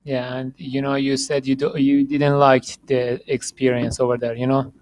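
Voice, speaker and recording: Halting male podcast host, dry sound. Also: medium pitch, level tone, darkish tone, no echo, low-quality recording. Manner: halting, many restarts and fillers, laughs often. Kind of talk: casual interview chat.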